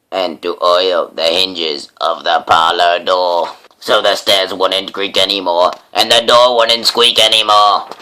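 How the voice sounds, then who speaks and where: Deep-voiced man reading a storybook dramatically. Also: bright, forceful tone. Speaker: storybook reader, host.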